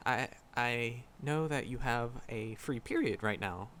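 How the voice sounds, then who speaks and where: A man's voice: mid-range voice, a bit bright, a little hiss or hum, close to an everyday voice. A man playing a shy, flustered magic professor in a roleplay audio drama, stammering and nervous as he talks to a secret crush. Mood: shy, rambling. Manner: nervous, halting, stammering, rambling. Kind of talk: roleplay audio drama, intimate one-sided dialogue.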